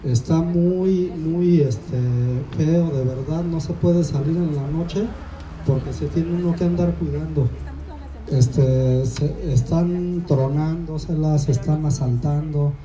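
Slow, hesitant man. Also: on the high side, warm tone, slight background noise, low-quality recording. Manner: hesitant, slow, many fillers. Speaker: resident speaking at the assembly. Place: outdoor street assembly.

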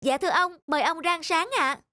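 A man's voice: extremely high pitch, fairly quick, background noise. An actor playing a worried young male servant in a folktale cartoon, speaking deferentially and anxiously, with music behind. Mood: deferential, puzzled. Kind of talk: animated folktale cartoon acting. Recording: music under the voice.

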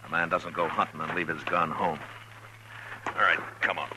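An actor playing a stern, steady frontier marshal in a 1950s radio western, terse and authoritative. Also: male voice, low voice, moderate pace, background noise, natural voice. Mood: steady, businesslike. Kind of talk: 1950s radio western acting and first-person narration. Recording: vintage 1950s radio recording with music.

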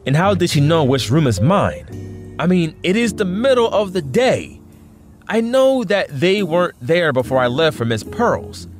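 A man's voice: on the high side, sing-song, a little slow, faint music behind. A man acting in an audio drama as a weary but wry young superhero student, talking hesitantly with self-deprecating humour. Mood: uneasy.

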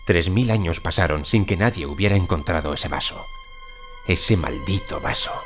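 A deep-voiced, obsessed archaeologist in an audio drama. He is weary and brooding, with dramatic delivery. Music plays clearly behind him. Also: expressive, normal speed. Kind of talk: Spanish audio drama acting and narration.

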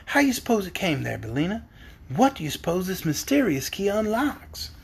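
An earnest little country girl out of a children's storybook, played by a narrator. She is polite and curious with a prim streak. Her intonation is very animated, with a soft rasp in the tone. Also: medium pitch, a little fast, exaggerated voice. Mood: wondering.

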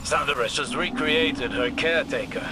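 A man playing a solemn, learned older warlock in a video game, measured, grave and deliberate. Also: mid-range voice, very noisy, natural voice. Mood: grave warning. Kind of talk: dramatic video game dialogue. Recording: music playing behind.

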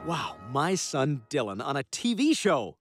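A man voicing a smug, self-absorbed adult talking dog in an animated sitcom, with a wry, sometimes hesitant manner. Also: a little high, measured pace, over loud music. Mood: self-important. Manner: wry, hesitant when cornered.

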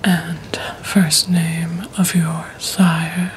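A young woman playing a polite, poised vampire receptionist at a blood bank in a soft, breathy, deliberate ASMR roleplay. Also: lowish voice, little pitch movement, a little hiss or hum, rough recording. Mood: polite, businesslike. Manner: slow, deliberate, hushed, formal.

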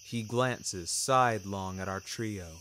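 A man narrating scripted first-person fiction in a measured, wry, literary manner. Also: on the low side, fairly bright tone, a little hiss or hum. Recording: music bed under the voice.

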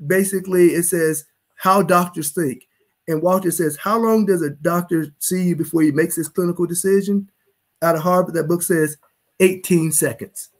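A man's voice: sits high, steady tone, normal speed. A middle-aged man, a physician, explaining emphatically on a live video-call panel from a home office. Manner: emphatic, explanatory, gesturing with hands. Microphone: video call webcam.

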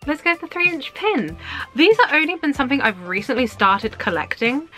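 A young woman, chatting brightly through an unboxing in a small room, her talk bubbly with squeals and laughs. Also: fairly high pitch. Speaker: vlogger. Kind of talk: casual unboxing chat. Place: small indoor room with collectible shelves. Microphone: camera at desk distance.

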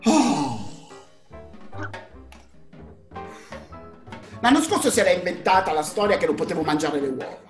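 An actor playing a stubborn middle-aged husband who loves food, protesting and whining in a comic radio soap. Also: male voice, high-pitched, big pitch swings, fast, soft background music. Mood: exasperated. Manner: protesting, repetitive, whiny. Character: stubborn, exasperated gluttonous husband. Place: echoey home kitchen. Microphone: video call. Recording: echoey video call.

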